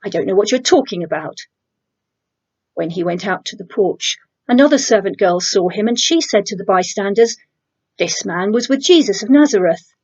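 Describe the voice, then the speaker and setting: A middle-aged woman reading a Gospel passage aloud in a measured, expressive way, on a home webcam mic. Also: mid-range voice. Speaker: church congregation member reading scripture. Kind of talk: scripture reading, dramatic narration of a Gospel. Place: indoor room at home with bookshelves. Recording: dull lo-fi home webcam sound.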